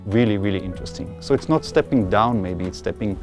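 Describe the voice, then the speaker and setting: A man's voice: lowish voice, brisk, a little mellow, faint music behind. A man speaking earnestly as a mentor in a documentary interview, giving emphatic advice. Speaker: physician-scientist mentor.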